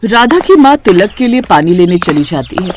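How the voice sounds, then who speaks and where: A woman's voice: medium pitch, sing-song, normal speed, background noise. A woman reading a scripted devotional story as its storyteller. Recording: music behind the voice. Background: background music.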